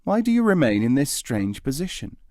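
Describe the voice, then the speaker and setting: A man's voice: mid-range voice, sing-song, brisk, darkish tone, dry recording, clean studio sound, pitched above the performer's own voice, natural voice. A man voicing a puzzled, formal courtier with a questioning manner in a storybook reading. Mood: puzzled, earnest.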